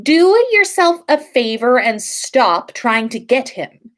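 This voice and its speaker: A woman's voice: fairly high pitch, sing-song, slow, pitched above the performer's own voice. Storybook role: snappish, jealous schoolgirl witch, played by a female reader, imperious, swooping delivery. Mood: possessive. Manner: demanding, snappish. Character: haughty, jealous teenage pure-blood witch schoolgirl. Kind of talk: storybook character voice.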